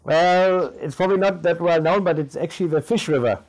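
A man from Namibia, German-native, answering interview questions in a calm, measured way. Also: a little high, poor audio. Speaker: guest. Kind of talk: podcast interview.

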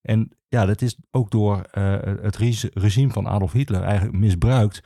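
A man explains history as a guest archivist, in halting, self-correcting phrases. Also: on the low side, fairly even intonation, mellow, dry recording, clean studio sound. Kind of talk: explanatory interview talk on history.

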